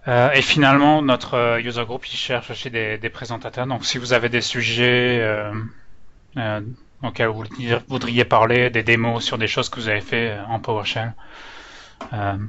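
Casting: male user group presenter, French-Canadian mixed accent, crisp bright tone, somewhat nervous, muffled online-call sound. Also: medium pitch, level tone, normal speed. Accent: French with Canadian-influenced intonation. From France. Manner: hesitant, nervous speaker. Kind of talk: informal technical presentation. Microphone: online meeting microphone. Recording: dull, lo-fi online call audio.

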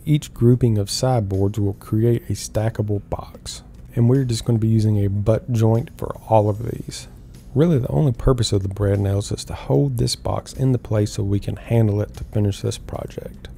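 Low, gravelly man explaining woodworking. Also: slight background noise. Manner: calm, step-by-step explaining. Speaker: woodworking host. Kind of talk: instructional voice-over.

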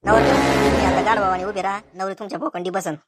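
A woman's voice: medium pitch, steady tone, fast, over loud music, lightly acted. A woman voicing a cheeky, mocking young male wedding photographer in a cartoon comedy, bossy and sarcastic, with a rural Maharashtrian accent.

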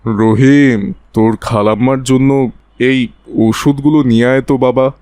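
A man's voice: mid-range voice, fairly even intonation, a little slow, darkish tone, clean tone, dry recording, natural voice. A man playing a pious, weary, fatherly elderly villager in a cartoon story.

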